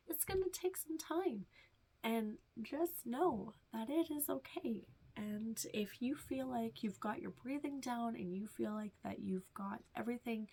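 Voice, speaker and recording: A woman with a stuffed-up, nasal voice gently teaching meditation to beginners, reassuring and sometimes dropping to a whisper. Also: on the high side, vocal fry, breathy edge, low-quality recording. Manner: soft, reassuring, drifts into whispers. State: stuffed-up nose. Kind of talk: casual instructional talk.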